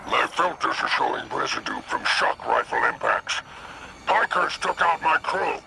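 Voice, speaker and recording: Wily alien salvage broker for a game: very gravelly, swooping pitch, flowery and grandiose, loud music underneath. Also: male voice, wildly swooping pitch, measured pace, a little hiss or hum, full character voice, low-quality recording. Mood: theatrical, grumbling. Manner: florid, grandiose, smooth-talking dealmaker phrasing. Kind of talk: video game character acting.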